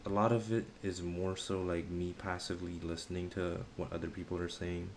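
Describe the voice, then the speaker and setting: A young man chatting casually on a live stream, pausing often to search for words and using a lot of slang. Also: deep voice, level tone, vocal fry, background noise.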